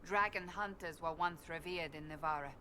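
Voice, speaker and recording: An actress voicing a proud, earnest warrior woman of the church in a video game, speaking formally and with measured gravity. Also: sits low, pushed and bright, a little hiss or hum. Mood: grave warning. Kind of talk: video game dialogue acting.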